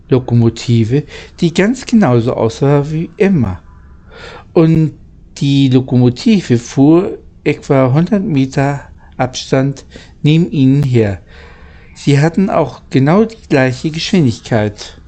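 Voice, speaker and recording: A man reading a children's story aloud in a halting way, stumbling over words now and then. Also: medium pitch, animated, warm tone. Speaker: amateur audiobook reader.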